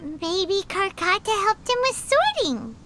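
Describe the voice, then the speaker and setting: A woman voicing a tiny, childlike fairy companion in a video game, squeaky, eager and curious, speaking of herself in the third person. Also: slow pace, slight background noise, exaggerated voice. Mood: concerned, curious. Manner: squeaky, excitable, speaks of self by name.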